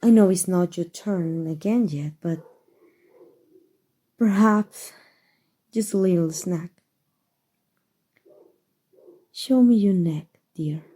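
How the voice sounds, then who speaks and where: Woman voicing a breathy, slow-speaking elegant vampire lady. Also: fairly low pitch. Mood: hungry, coaxing. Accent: Mexican. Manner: soft, tender, formal and unhurried endearments. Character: elegant centuries-old female vampire, doting and gently possessive. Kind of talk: ASMR roleplay.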